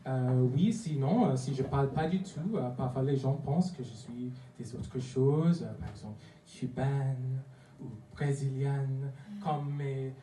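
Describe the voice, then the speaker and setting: A man's voice: medium pitch, a little slow. Playful Trinidadian-accented young man on stage. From Trinidad and Tobago. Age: young adult. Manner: playful, self-mocking, laughing through lines. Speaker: stand-up comic. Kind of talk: stand-up comedy set. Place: comedy club stage. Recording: echoey club from far off, loud noise.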